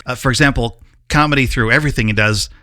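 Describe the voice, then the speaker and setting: Bright-voiced man hosting a casual interview. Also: medium pitch, fast, no room sound, clean studio sound. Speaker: podcast host.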